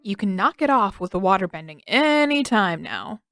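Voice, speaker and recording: A woman's voice: mid-range voice, sing-song, moderate pace, a bit bright, soft background music. A woman voicing a grumbling, sarcastic teenage boy who whines and complains in an audiobook story. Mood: annoyed.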